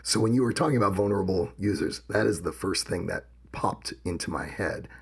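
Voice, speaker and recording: A man co-hosting a podcast, with a gravelly voice, talking casually and telling personal stories. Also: deep voice, fairly quick. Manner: personal, reflective, tells anecdotes. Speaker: podcast co-host. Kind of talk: casual conversation.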